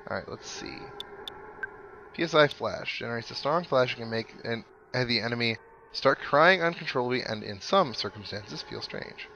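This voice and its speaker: A man's voice: medium pitch, animated, normal speed, bright, forceful tone, a little hiss or hum, rough recording. A man giving casual commentary over a video game playthrough, thinking aloud with mild exclamations. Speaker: gaming video host. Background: video game music.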